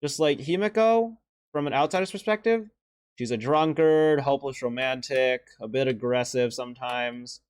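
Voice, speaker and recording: A young man with a deeper voice giving casual, earnest and emotional commentary as he ranks favorite animated shorts, with sighs and restarts. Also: moderate pace, clean tone, no room sound. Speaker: video creator ranking animated shorts.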